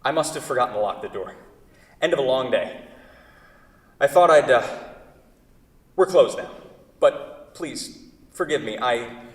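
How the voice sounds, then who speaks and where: A man's voice: medium pitch, animated, measured pace, long pauses, natural voice. A man in a staged play reading, acting a wordy, fretful furniture craftsman in love with his own creation, often correcting himself. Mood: flustered, apologetic. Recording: echoey hall, far from the mic.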